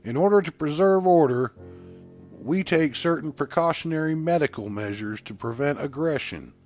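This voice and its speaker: A man's voice: on the high side, moderate pace, darkish tone, soft background music, pitched above the performer's own voice, natural voice. A man voicing a cold, smug prison warden in a horror audiobook, formal, condescending and emphatic. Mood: stern. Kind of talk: dramatic horror audiobook reading.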